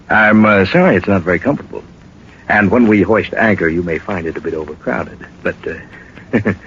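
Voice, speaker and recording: An actor playing a suave, menacing smuggler in a 1940s radio drama, speaking with sardonic, hesitant mock courtesy. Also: male voice, low voice, a bit bright. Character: smug, menacing male smuggler villain, mockingly courteous. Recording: dull old radio recording, faint noise.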